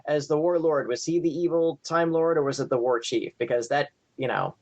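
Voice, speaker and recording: A man's voice: on the high side, a little fast, a little mellow, muffled recording. A middle-aged man in casual fan chat on a podcast, rambling enthusiastically as he tells stories. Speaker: podcast panelist.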